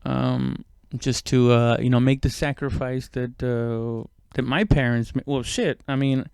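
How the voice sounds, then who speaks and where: A man talking in a casual, rambling podcast monologue, halting and conversational, with frequent swearing. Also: mid-range voice, fairly even intonation, vocal fry, darkish tone, smooth, dry recording, clean studio sound. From immigrant, moved abroad at seven. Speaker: stand-up comedian podcast host.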